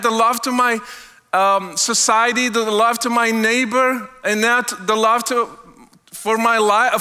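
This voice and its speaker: A middle-aged man from Morocco, sharing an earnest testimony sermon as a guest speaker, slowing down to stress key words. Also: very high-pitched, pushed and bright. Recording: long hall reverb.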